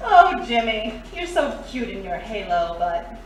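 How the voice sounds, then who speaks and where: Knowing, teasing underworld dame, radio noir, really echoey, bit far from mic. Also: female voice, fairly high pitch, big pitch swings, a little slow. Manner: coy, needling, knowing. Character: sly, teasing femme fatale with underworld sources. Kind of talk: noir radio drama acting. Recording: very echoey, distant, faint noise.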